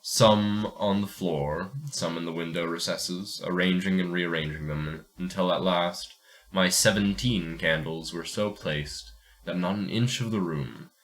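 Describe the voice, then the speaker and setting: Man reading as low-voiced formal gentleman ghost-story narrator. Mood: measured, brooding. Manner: formal, measured phrasing.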